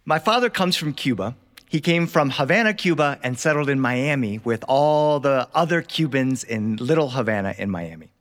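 A man's voice: mid-range voice, expressive, normal speed. A man from the United States telling personal stories live to a studio audience, conversationally. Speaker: storyteller.

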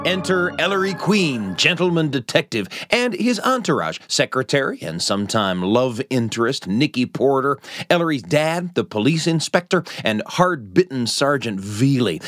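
A man's voice: medium pitch, fairly bright tone, no echo, studio quality. A man hosting a radio show, narrating like a storyteller with dramatic, emphatic phrasing.

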